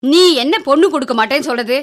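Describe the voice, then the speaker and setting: A woman playing an indignant, sharp-tongued mother of a groom in a Tamil radio drama. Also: sits high, quick pace, natural voice. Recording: music bed under the voice.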